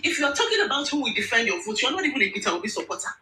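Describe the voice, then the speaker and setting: A woman's voice: fairly high pitch, big pitch swings, rapid-fire. A woman from Lagos with a Nigerian accent, speaking emphatically and indignantly on a political topic in an online audio room, repeating words for force. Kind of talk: impassioned political rant. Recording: roomy, distant mic with faint noise.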